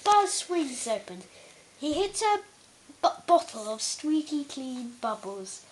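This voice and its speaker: A woman reading a children's bedtime story aloud, haltingly, stumbling over words and correcting herself. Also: sits high, very animated, a little hiss or hum, low-quality recording. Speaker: storybook reader.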